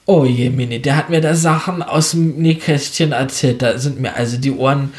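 A man's voice: medium pitch, normal speed. A man speaking as an interviewer and media journalist in a conversational radio style, opinionated and colloquial.

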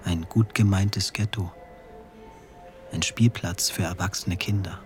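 A man reciting poetic, scripted radio play text in deliberate short phrases. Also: deep voice, fairly even intonation. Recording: clear music under the voice. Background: background music.

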